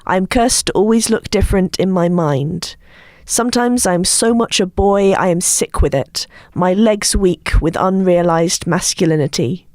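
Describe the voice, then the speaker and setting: A woman, co-hosting a poetry podcast in a halting, hesitant way. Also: on the low side, a little mellow, no room sound, studio quality. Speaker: podcast co-host and poet. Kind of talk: casual podcast chat and poem reading.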